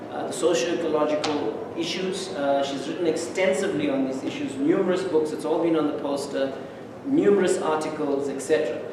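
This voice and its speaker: A man hosting a seminar, giving an informal academic introduction of a guest speaker in phrases broken by pauses. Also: on the high side. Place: indoor seminar room. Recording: very echoey room, distant, noisy.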